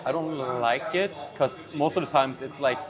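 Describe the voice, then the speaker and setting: A man's voice: mid-range voice, normal speed, music in the background. A man with a Swedish accent hosting a casual conversation, rambling with restarts and laughing often. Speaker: podcast host.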